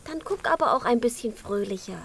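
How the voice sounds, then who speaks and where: A woman playing a little chick in a children's radio play, a caring friend who talks gently but bluntly. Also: a little high, expressive, brisk, slight background noise. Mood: prodding.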